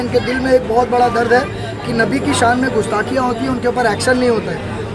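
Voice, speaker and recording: A man giving an assertive, emphatic political pitch as a candidate in a campaign interview, often repeating his points. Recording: loud music, crowd and street traffic. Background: music, crowd, vehicles.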